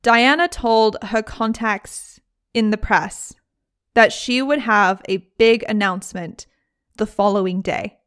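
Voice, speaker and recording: A young British woman hosting a podcast, telling a story in a casual, chatty way with lively asides. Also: medium pitch, takes it slowly. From Britain. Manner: chatty, animated, frequent laughs and asides. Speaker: podcast host.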